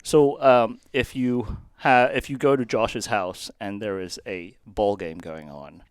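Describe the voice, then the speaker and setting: A man from Hong Kong, a guest on a podcast, talking casually and thoughtfully with pauses. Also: fairly low pitch, clear, resonant tone, no room sound. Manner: thoughtful, many fillers and restarts. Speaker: guest, YouTube channel co-host. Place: dark studio set with leather chairs.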